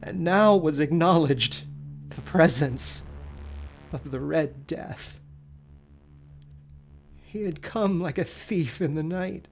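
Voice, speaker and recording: A man hosting a podcast, giving a dramatic, expressive reading of a story. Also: a little high, slow pace, vocal fry, long pauses. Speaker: podcast host.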